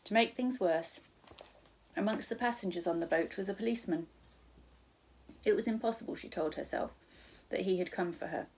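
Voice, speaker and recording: A woman reading a children's storybook aloud as a teacher, in expressive narration with the odd corrected stumble. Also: medium pitch, normal speed, pauses often, slightly rough.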